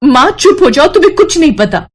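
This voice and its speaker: A woman's voice: high-pitched, big pitch swings, brisk, pitched above the performer's own voice. A timid young girl, the little sister-in-law of a cartoon moral story acted by a woman. She speaks shyly and hesitantly, yet with eagerness. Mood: protesting. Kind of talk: Hindi animated moral-story voice acting.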